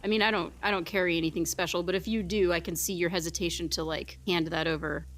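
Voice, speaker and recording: A woman's voice: lowish voice, fairly even intonation, fast talker, fairly bright tone. Woman chatting through a tabletop game. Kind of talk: casual in-character game chat.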